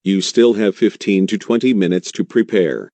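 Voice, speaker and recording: A man's voice: low voice, moderate pace, mellow, no echo. A man playing a solemn, earnest TV meteorologist who implores viewers in an urgent live weather broadcast. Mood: urgent, pleading. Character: grave, pleading male TV meteorologist covering a tornado outbreak. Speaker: TV weather forecaster.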